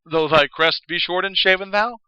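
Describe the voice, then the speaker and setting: A man's voice: high voice, normal speed, clear, resonant tone, no echo, highs cut off, pitched above the performer's own voice. Man acting a haunted, desperately impassioned gothic mourner. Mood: mock-imperious. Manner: intense, declamatory, swinging from hushed to crying out. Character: grieving, haunted man pleading in gothic poem. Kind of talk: theatrical gothic poetry reading.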